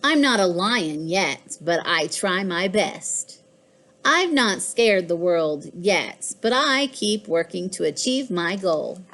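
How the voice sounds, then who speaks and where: Woman reading as slow-paced, boastful storybook house cat. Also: lowish voice, lively intonation, a bit bright, heavily acted. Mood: confiding, proud. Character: boastful, fussy, self-important pet house cat. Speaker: author reading own children's book. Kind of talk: scripted children's storybook narration.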